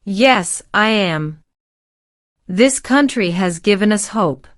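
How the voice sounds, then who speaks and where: A woman in her forties from Syria answers as a citizenship applicant, polite and formal. Her replies are measured and careful. Her voice sits at a middle pitch and sounds dry and close. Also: expressive, dry recording. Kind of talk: scripted interview answers.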